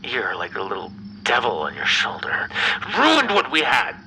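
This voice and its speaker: A man playing an obsessive, bitter, menacing ex-lover in an ASMR roleplay, speaking in a breathy, brooding half-whisper. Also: medium pitch, huge pitch swings, bright, forceful tone, slight background noise, full character voice, low-quality recording. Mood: bitter, wounded. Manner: slow, brooding, theatrically menacing. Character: obsessive, bitter yandere male vampire ex-lover, menacing captor.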